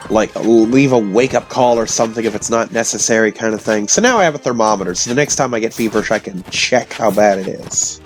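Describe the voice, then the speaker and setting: A man with a hoarse voice from illness, telling rambling stories casually to his audience. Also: fairly low pitch. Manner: meandering, self-interrupting, chatty. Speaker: vlogger.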